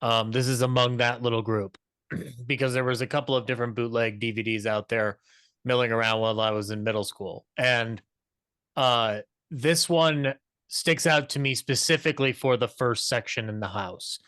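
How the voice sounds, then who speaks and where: Young male podcast panelist, bright forward voice, dry close sound, chatty and enthusiastic. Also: medium pitch, moderate pace, clear, resonant tone, no echo. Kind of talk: conversational show commentary.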